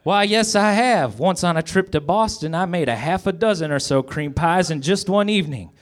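A man's voice: high voice, moderate pace, pitched above the performer's own voice, lightly acted. A man voicing a prim, folksy older small-town aunt with an eager manner, in a comic parody reading. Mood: eager, coy.

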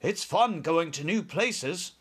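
A man's voice: fairly high pitch, animated, takes it slowly, fairly bright tone, breathy edge, exaggerated voice. A man voicing a cheerful, fearless young military pilot in a storybook, breezy and unflappable.

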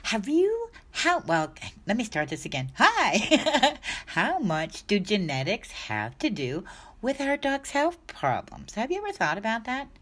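A woman's voice: a little high, wildly swooping pitch, measured pace, a bit bright. A woman in her sixties talking casually to camera about dog health, chatty and rambling, often restarting her sentences. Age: 60s. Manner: chatty, rambling, self-correcting.

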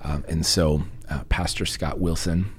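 A man with a raspy voice, congested from a cold, telling stories and joking casually as a podcast guest. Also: fairly even intonation, measured pace, a little hiss or hum. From Texas. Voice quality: raspy, deep. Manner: joking, storytelling. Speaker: podcast guest, church leader.

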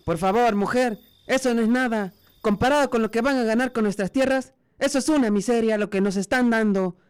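A man's voice: very high-pitched, brisk, clean tone. A young man with a Mexican accent playing a defiant rural protest leader in an amateur radio drama. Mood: indignant. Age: young adult.

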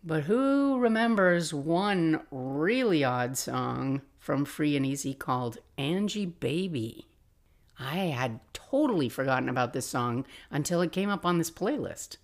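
An older woman hosting a podcast, telling personal stories in a casual, reflective, confiding and expressive way. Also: low-pitched, a little slow. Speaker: podcast host.

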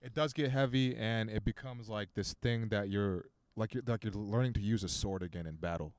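A young man with a deep voice, guesting on a casual podcast and telling stories with plenty of swearing. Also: fairly quick, no echo. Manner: animated storytelling, heavy swearing. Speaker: podcast guest and stand-up comic. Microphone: handheld microphone.

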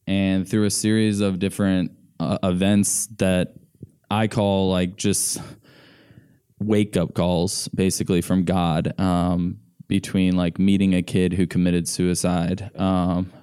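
An earnest American man talks casually as a podcast guest, wandering through his story with lots of "like." His voice sits on the lower side and sounds warm and mellow. The tone is very smooth and clean. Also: little pitch movement, takes it slowly. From United States. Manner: earnest, meandering, heavy use of "like".

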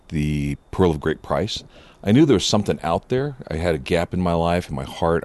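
Very deep-voiced man in his fifties. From Southern California. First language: Japanese. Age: 50s. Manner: reflective, earnest, with frequent fillers. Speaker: podcast guest. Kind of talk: relaxed storytelling interview.

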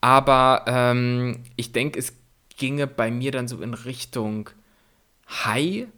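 A man's voice: medium pitch, slow, sharp tone. Stammering young man in casual chat. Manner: stammers and repeats words, laughs while talking. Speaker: podcast co-host.

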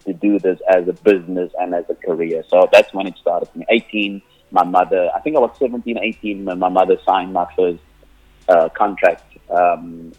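A man's voice: low-pitched, flat delivery, normal speed. Man with a dull radio sound. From South Africa, Cape Flats. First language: Afrikaans. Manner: rambling, many restarts, laughs often. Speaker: musician guest. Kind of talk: casual interview answers.

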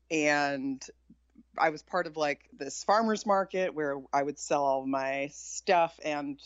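Woman guest with a low voice, low-key and candid, casual talk; dull lo-fi sound, very dry. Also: dry recording. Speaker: podcast guest, chef and author. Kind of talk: casual interview chat.

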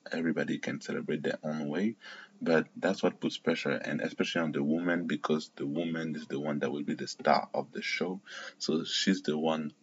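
Man from Cameroon, very low husky voice, rambling opinionated recap, dull lo-fi sound. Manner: rambling, self-correcting, opinionated. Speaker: reality TV recap vlogger. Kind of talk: casual spoken recap.

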